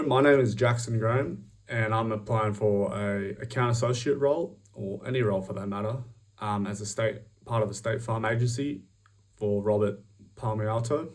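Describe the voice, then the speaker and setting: A young man with an Australian accent giving a self-recorded job application pitch, upbeat and self-promoting, with many ums and restarts. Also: a little mellow, far from the mic.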